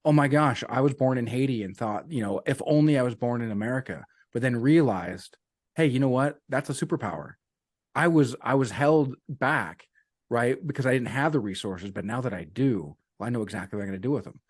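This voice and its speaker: A man's voice: mid-range voice, expressive, a little fast, dry recording, studio quality. A man talking as a coach guest in a casual interview, earnest, repeating his key sayings and dropping "man" and "you know" as he goes. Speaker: business and life coach guest.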